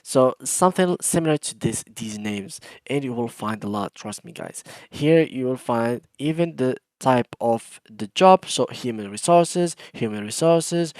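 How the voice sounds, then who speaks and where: A man with a Moroccan accent hosts an informal tutorial in a mid-pitched voice. He explains things step by step in a conversational way, sometimes hesitant and repeating himself. The sound is dry, with very little room in it. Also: darkish tone, no room sound. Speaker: tutorial host. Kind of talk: casual screen-share tutorial.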